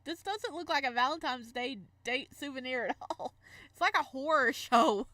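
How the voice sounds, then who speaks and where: A woman's voice: sits high, animated, moderate pace, pushed and bright, no echo. A woman reading a visual novel aloud with casual reactions, giggling and stumbling over words as she goes. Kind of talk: let's play read-aloud with casual reactions.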